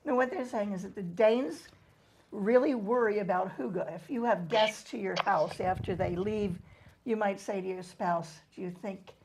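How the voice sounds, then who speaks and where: A woman's voice: mid-range voice, normal speed, noisy background. A middle-aged woman co-hosting a casual group chat, explaining things at length with frequent ums. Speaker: co-host.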